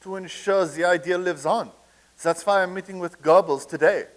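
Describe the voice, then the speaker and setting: High-pitched comic male officer played by a man. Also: normal speed, close to an everyday voice. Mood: earnest, plotting. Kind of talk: staged play reading, comedic.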